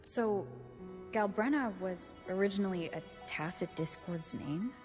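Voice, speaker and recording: An actress playing an inquisitive listener in a fantasy game, speaking in a measured, thoughtful way. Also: female voice, medium pitch, a little mellow, faint music behind, natural voice. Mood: curious, pensive.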